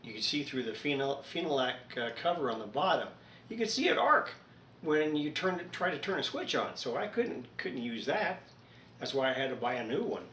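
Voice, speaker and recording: A man chatting casually in an unhurried, rambling, folksy way, as a hobbyist host of a home repair video recorded indoors. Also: mid-range voice, sing-song, a bit bright, a little hiss or hum.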